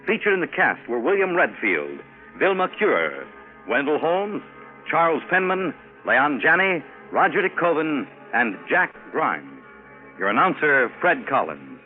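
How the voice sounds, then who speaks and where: A man's voice: a little high, big pitch swings, a little slow, phone-quality sound. A man announcing from a script in the style of 1950s network radio. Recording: thin vintage radio broadcast with music.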